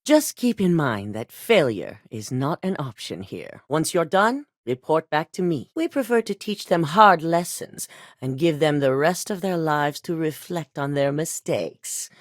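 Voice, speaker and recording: An actress playing a ruthless middle-aged female executive in a video game, speaking in a measured, clipped, coldly authoritative way. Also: deep voice, no echo, clean studio sound. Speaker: company boss giving missions.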